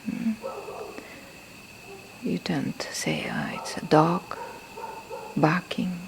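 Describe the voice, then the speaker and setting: A woman teaches meditation in non-native English, with a lowish voice that creaks at the edges. She speaks very slowly and gently, often softening almost to a breathy whisper. She leaves pauses between short phrases, over faint background noise. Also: wildly swooping pitch. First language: not a native English speaker. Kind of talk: guided meditation instruction. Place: temple in Thailand. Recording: faint background noise, live field recording.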